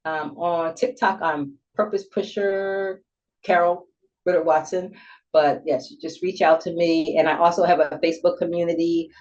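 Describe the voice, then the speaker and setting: A woman's voice: on the low side, fairly even intonation, normal speed, a little mellow. Woman in her 60s, pastor guest, earnest testimony tone, dull lo-fi audio. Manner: earnest, testimonial, faith-filled. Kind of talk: casual interview talk.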